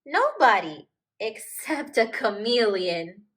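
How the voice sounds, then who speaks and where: A woman voicing a polite, shy but excitable young male polar bear cub in a children's picture book, eager and childlike. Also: fairly high pitch, takes it slowly, heavily acted. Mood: playful.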